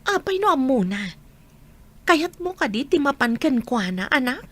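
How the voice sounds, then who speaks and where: A woman's voice: sits high, very animated, normal speed, a bit bright. A woman playing a patient but hurt young mother, earnest in manner, with an Ilocano accent in a radio drama.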